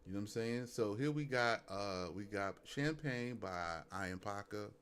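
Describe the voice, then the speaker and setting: Deep-voiced Brooklyn man hosting a stream. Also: lively intonation, moderate pace, fairly bright tone, slight background noise. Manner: laid-back, loose, fills with "you know what I'm saying". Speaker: host. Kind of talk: casual livestream chat.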